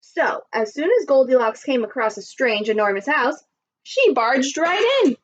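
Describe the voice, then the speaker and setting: Higher-voiced woman teacher, dull lo-fi recording. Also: measured pace. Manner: expressive, asking the listeners questions. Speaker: primary school teacher. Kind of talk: children's storytime read-aloud with asides to listeners.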